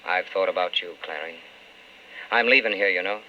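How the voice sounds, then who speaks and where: A man's voice: low voice, moderate pace, close to an everyday voice. An actor playing a principled, wistful man in love, speaking earnestly in an old-time radio drama. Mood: resigned. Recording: muffled old radio broadcast with hiss.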